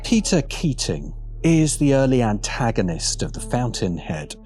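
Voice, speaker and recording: A man's voice: mid-range voice, big pitch swings, unhurried, darkish tone, soft background music. A man narrating a scripted video essay as its presenter.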